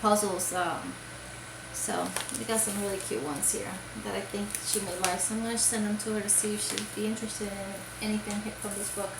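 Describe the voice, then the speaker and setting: A woman's voice: mid-range voice, little pitch movement, a little fast, gravelly, roomy sound, background noise. A woman from Puerto Rico chatting casually about crafts for her video, rambling with many fillers and restarts. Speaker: crochet vlogger. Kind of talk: casual show-and-tell chat.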